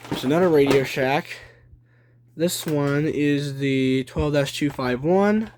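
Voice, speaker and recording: A young adult man giving a casual show-and-tell narration on a phone at home, listing items deliberately in short phrases. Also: a little high. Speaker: hobby collector presenting a video. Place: small room at home. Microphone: handheld phone behind the camera. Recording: noisy handheld home video with clicking.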